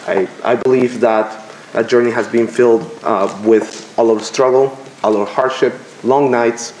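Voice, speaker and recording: A young man from Venezuela speaks into a podium microphone in a lecture room, giving a commencement speech. He pauses often and jokes at his own expense. The room is roomy and echoey, with a faint hum behind him. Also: medium pitch, fairly even intonation, darkish tone. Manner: halting, self-deprecating, with filler pauses. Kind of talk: graduation speech. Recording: roomy lecture hall echo.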